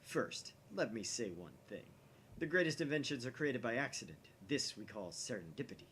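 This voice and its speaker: A man voicing a grandiose young mad scientist in a visual novel, declaiming theatrically with pompous, dramatic pauses. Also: medium pitch, expressive, fairly bright tone, slight background noise, close to an everyday voice. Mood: smug, grandiose.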